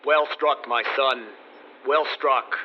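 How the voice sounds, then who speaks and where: A man's voice: mid-range voice, steady tone, slow, muffled recording, heavy background noise, lightly acted. An actor playing a stern legendary king and weary father in a radio drama, speaking in a curt, commanding way. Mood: solemn. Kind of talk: rhyming verse radio drama.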